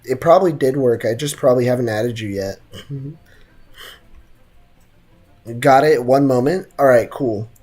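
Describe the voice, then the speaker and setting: A man's voice: mid-range voice, a little slow, pauses often, darkish tone. A young American man chatting casually and slangily as he streams a game, reading chat and answering it. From United States. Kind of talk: casual live gaming chat. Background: game music.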